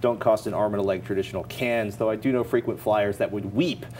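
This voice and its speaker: A middle-aged American man with a fairly low voice co-hosts a tech show. He talks informally and emphatically, laying out recommendations as if chatting. Light noise sits behind him in the studio. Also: fairly even intonation, quick pace, a little mellow. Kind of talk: conversational product recommendations. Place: TV-style studio at a desk. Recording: faint background noise.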